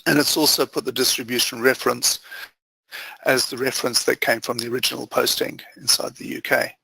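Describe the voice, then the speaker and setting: A man presenting an instructional software demo as a trainer, explaining methodically step by step in short phrases. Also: medium pitch, little pitch movement, brisk, creaky, breathy edge, dry recording, low-quality recording. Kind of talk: instructional screen-share walkthrough.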